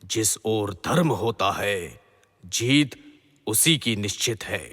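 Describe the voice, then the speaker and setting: A man acting a grave, righteous middle-aged king and father in a mythological audio drama, speaking in formal, measured pronouncements. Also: fairly low pitch, lively intonation, a bit bright, heavily acted. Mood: solemn, resolute. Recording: long hall-like reverb.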